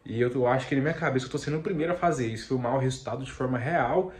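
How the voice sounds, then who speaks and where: A man's voice: mid-range voice, very fast, slightly rough. A young man with a Brazilian accent explaining beginner coding step by step, casual and chatty, often tagging lines with "tá?" and "né?". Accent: Brazilian Portuguese. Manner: repeats filler tags like "tá?" and "né?"; slangy, excitable outro.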